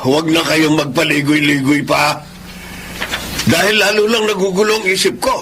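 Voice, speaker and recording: A man's voice: fairly high pitch, steady tone, normal speed, noisy background, lightly acted. An actor playing an angry, wounded, stubborn old father in a Filipino radio drama, speaking with confrontational indignation. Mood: furious.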